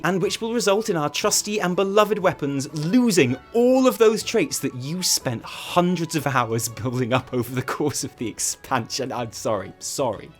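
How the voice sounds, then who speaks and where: Animated British man hosting gaming news. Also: fairly high pitch. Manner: theatrical, sarcastic, emphatic. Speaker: host of a gaming news show. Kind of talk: lively scripted news commentary with comic asides.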